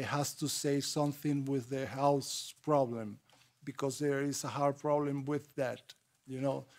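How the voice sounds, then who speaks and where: A man with a Spanish accent giving remarks as a panel speaker in halting, repetitive, non-fluent English. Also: medium pitch, gravelly, darkish tone. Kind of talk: impromptu remarks at a public panel.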